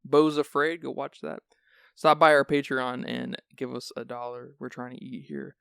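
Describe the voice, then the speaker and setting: Male podcast host: sleepy-sounding, gravelly, loose rambling delivery, dry close sound. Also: medium pitch, fairly even intonation, normal speed, a bit bright, dry recording. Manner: chatty, rambling with restarts. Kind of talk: casual film discussion.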